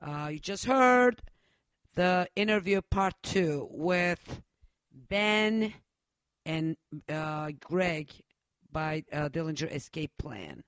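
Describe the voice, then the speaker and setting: Foul-mouthed radio host guy, slow and halting, smooth voice, dry studio. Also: on the high side, expressive, fairly bright tone, no room sound. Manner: profane, loose, halting with many uhs. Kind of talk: casual radio chat.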